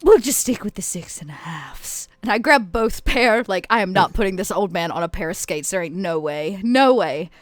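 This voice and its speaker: A woman playing a tabletop roleplaying game, improvising dialogue and casual narration in a playful, animated way. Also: medium pitch, moderate pace, hardly any pauses, no room sound.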